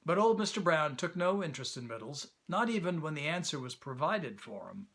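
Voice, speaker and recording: A man reading a children's story aloud as an animated storybook narrator. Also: fairly high pitch, brisk, gravelly.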